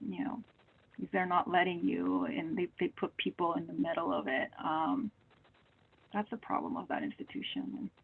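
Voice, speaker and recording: A woman speaks with a fairly low, gravelly voice. She answers webinar questions earnestly and thinks aloud as she goes, doubling back on her words. The sound is thin and narrow, like a video call heard over a phone line. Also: steady tone, normal speed. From Peru. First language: Spanish. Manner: earnest, thinking aloud with many repeated starts. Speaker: medical oncologist, panelist.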